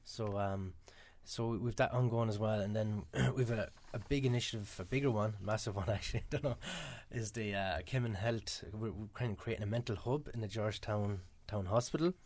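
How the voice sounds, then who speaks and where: Chatty Irish man, low voice. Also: a little mellow. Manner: quick, chatty, many "um" fillers. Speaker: guest from a mental health charity. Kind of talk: radio interview answers.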